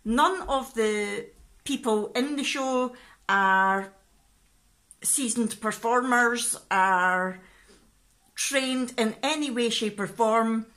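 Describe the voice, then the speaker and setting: An excitable Scottish woman with a bright, fairly high voice talks in a casual, chatty vlog style. She is in her thirties and speaks into a phone at home. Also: slow pace. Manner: excitable, expressive. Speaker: family vlogger. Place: living room at home. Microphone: phone at arm's length.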